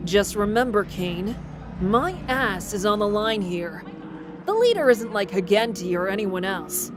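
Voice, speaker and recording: A woman's voice: mid-range voice, animated, measured pace, music in the background, natural voice. A woman voicing an impish demon boy in an audio drama, teasing and sly, with a theatrical drawl. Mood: scheming.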